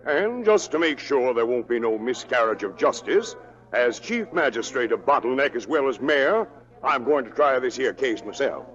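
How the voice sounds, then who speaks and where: An actor playing a sly, pompous small-town Western mayor, hemming and unctuous in 1940s radio style. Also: male voice, mid-range voice, lively intonation, normal speed, a bit bright, natural voice. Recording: dull old radio, faint music.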